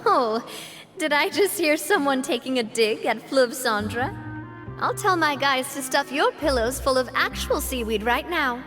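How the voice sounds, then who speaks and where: A young female leader in a video game. Her voice is high, with a cheerful, kindly and teasing manner. Soft music sits faintly behind her. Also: lively intonation, measured pace. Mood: playful. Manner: friendly, teasing, upbeat.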